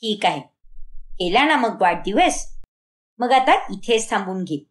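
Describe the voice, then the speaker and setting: A woman voicing a domineering, sharp-tongued middle-aged mother-in-law who scolds, in a cartoon family story. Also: medium pitch, sing-song, unhurried, voice pushed down. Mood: scolding.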